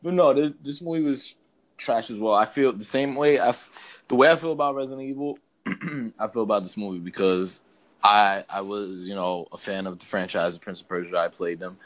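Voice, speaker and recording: Low-voiced man chatting as podcast co-host. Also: a little fast, rough recording. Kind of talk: casual chat, film review.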